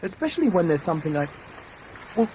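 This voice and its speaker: A woman's voice: fairly low pitch, sing-song, fast talker, dark tone. A woman playing a frustrated, argumentative traveller from Earth, speaking informally in a sci-fi audio drama. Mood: frustrated, impatient. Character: frustrated, impatient person from Earth with dormant powers. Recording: clear background noise.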